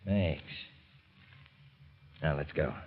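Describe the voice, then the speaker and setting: An actor playing a tough, bossy crime boss in old-time radio drama, giving gruff, clipped, slangy orders. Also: male voice, extremely low pitch, drawn-out words, phone-quality sound, exaggerated voice. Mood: impatient, commanding.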